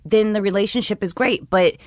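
A woman comedian co-hosting a podcast in a studio, chatting in an animated, playful way with a low, husky voice, slipping into impressions. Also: brisk, no echo. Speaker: comedian co-host. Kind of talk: casual chat. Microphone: studio microphone.

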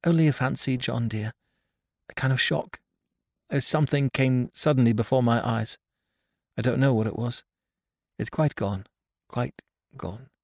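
A man voicing a gentle young wife in a storybook reading, soft and quiet. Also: medium pitch, a little slow, long pauses, no room sound, studio quality, voice pushed up. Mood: shaken.

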